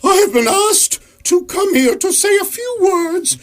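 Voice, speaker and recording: A woman's voice: very high-pitched, expressive, unhurried. A woman playing a pompous, patronizing lady dignitary gushing through a ceremonial speech in a radio comedy. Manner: pompous, gushing, swooping oratory. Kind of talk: radio comedy drama acting.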